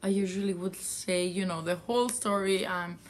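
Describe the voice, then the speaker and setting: A woman's voice: mid-range voice, moderate pace. A woman from France, a yarn dyer telling a story in a casual vlog, rambling with many fillers.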